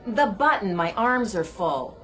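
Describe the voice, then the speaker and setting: An actress playing a brisk, everyday woman in a busy department store in a radio drama. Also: medium pitch, animated, soft background music, lightly acted. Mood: harried, friendly.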